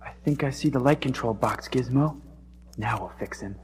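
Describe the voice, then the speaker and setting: An actor playing an earnest, excitable young man in a storybook record dramatization. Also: medium pitch, moderate pace, close to an everyday voice. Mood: hushed, wary. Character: excitable earnest young man, brave but alarmed hero. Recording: music behind the voice.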